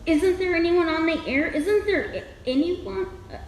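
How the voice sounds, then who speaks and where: A woman playing a lone, forlorn radio operator, calling out deliberately and repetitively in a 1930s radio drama. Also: very high-pitched. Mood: forlorn, anxious. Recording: echoey room with noise.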